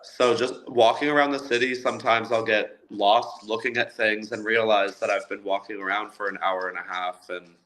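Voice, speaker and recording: A man's voice: on the low side, fairly even intonation, moderate pace, hardly any pauses, a bit bright, slight background noise, low-quality recording. A Canadian man chatting casually as a guest, easygoing and laughing lightly now and then. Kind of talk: casual interview chat.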